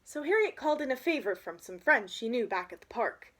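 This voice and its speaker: A woman's voice: fairly high pitch, very animated, a little fast, a bit bright. A young woman reading a children's picture book aloud, with chatty asides to her listeners. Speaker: storybook reader.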